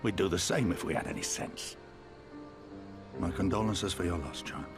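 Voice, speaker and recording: An older resistance commander in a fantasy game, proud, wry and tired. His low voice moves in measured, blunt phrases with folksy turns. Music plays clearly behind him. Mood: gentle, consoling. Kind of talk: video game cutscene acting.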